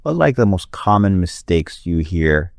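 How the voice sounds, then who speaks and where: Very low-voiced male interviewer. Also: big pitch swings, creaky, no echo, studio quality. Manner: asks questions, quick short acknowledgments. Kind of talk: conversational interview.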